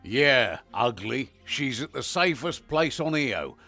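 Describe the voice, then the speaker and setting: An actor playing a brash hunter sidekick in a fantasy video game, blunt and sardonic with a gravelly edge. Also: male voice, medium pitch, very animated, slow, pushed and bright, soft background music, heavily acted. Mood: taunting. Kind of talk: dramatic video game acting.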